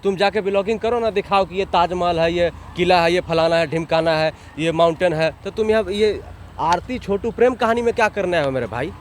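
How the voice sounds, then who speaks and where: A man's voice: high voice, brisk. A man from Jharkhand with a regional accent to match, giving blunt, exasperated opinions in colloquial speech as an interview guest, often through rhetorical questions. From Jharkhand, India. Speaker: interview guest, online channel owner. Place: outdoors in a town, near a road. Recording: clear outdoor street and traffic noise.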